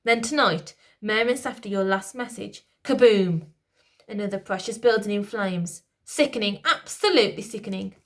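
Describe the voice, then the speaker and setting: A woman's voice: mid-range voice, moderate pace, lightly acted. A woman voicing a scheming male Lord Protector who sounds pompous and falsely soothing. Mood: accusing.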